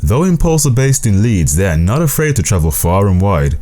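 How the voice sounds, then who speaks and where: A man's voice: lowish voice, big pitch swings, normal speed, warm tone. A man narrating a short documentary in a scripted, storytelling delivery.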